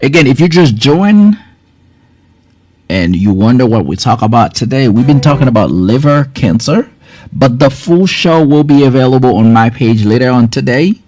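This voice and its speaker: A man's voice: medium pitch, expressive, moderate pace, darkish tone. A man from Haiti, a doctor hosting a live health stream and repeatedly thanking viewers in loose, rambling phrasing. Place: his clinic. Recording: background music playing.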